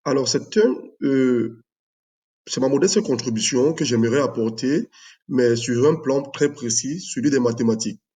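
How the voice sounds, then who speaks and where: Mellow-voiced man, measured and hesitant. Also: mid-range voice. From Cameroon. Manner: measured, hesitant with many fillers. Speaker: math teacher. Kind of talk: video announcement, unscripted.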